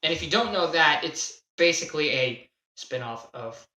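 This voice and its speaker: A young man telling a casual, unscripted gaming story in a halting, self-deprecating way, stressing key words as he goes. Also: a little high, animated, sharp tone. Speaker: video creator telling a personal gaming story. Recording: roomy, echoey home recording.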